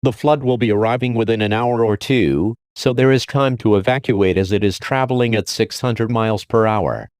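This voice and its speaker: A man's voice: lowish voice, moderate pace, few pauses, a little mellow, clean tone, dry recording, studio quality. A man delivering a scripted emergency alert as an announcer.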